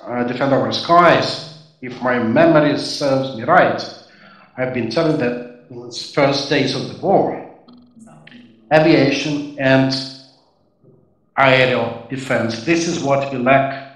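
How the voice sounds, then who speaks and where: A man giving formal, measured answers to audience questions at a university event, pausing between phrases. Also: mid-range voice, fairly bright tone. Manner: measured, deliberate, with short pauses between phrases. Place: university auditorium. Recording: roomy hall, voice from a distance.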